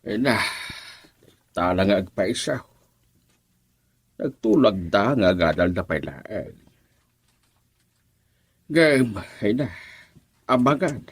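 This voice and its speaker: An actor playing a jovial, doting old grandfather with a raspy voice, in an Ilocano radio drama. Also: lowish voice, lively intonation, slow pace, long pauses, dry recording, full character voice. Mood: amused, advising.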